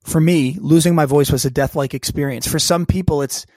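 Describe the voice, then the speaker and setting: A man telling a personal story as a podcast guest, lively and acting out the voices of people in it. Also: on the high side, fairly quick, no room sound, clean studio sound. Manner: animated storyteller who acts out quoted dialogue. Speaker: guest, keynote speaker and coach.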